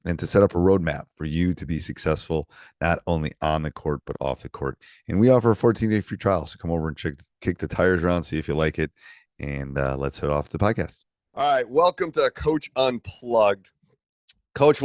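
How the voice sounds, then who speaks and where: Low-voiced eager podcast host. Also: male voice, no echo, clean studio sound. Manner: eager, welcoming, with fillers. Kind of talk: podcast hosting and sponsor reads.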